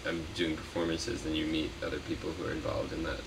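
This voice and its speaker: A man being interviewed as a puppeteer, chatting casually in a halting, rambling way that often trails off. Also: very deep voice, flat delivery, creaky. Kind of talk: casual unscripted interview chat. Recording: noisy, distant mic.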